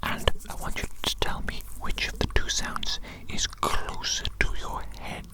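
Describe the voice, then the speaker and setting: A person whispering in an ASMR medical roleplay as an eccentric, patronising doctor, giving deliberate, repetitive instructions and soft approval.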